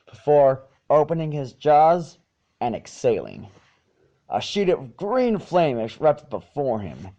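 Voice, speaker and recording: A man's voice: medium pitch, mellow, highs cut off. A man reading a story aloud as an amateur narrator, with occasional stumbles and repeats. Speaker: fan fiction reader.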